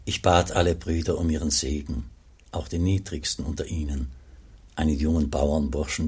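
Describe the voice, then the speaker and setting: An actor playing a revered, pious old monk in a German radio play, solemn and measured, with a deep gravelly, breathy voice. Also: male voice, darkish tone, full character voice. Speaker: actor and first-person narrator.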